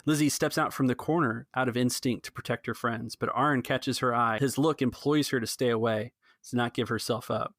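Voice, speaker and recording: A man's voice: medium pitch, steady tone, a little fast, a bit bright, no echo, studio quality. A man hosting a tabletop role-playing game as game master and narrator.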